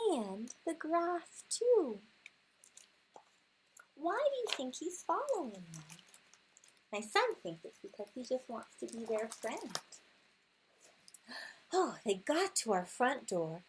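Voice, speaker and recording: A woman reads a picture book aloud to little ones in a high, husky, breathy voice. She takes her time and is very expressive, speaking straight to her young listeners as she goes. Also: poor audio. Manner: expressive, with sound words, gasps and questions to listeners. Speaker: storyteller reading a picture book to small children. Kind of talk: animated read-aloud with asides to young listeners.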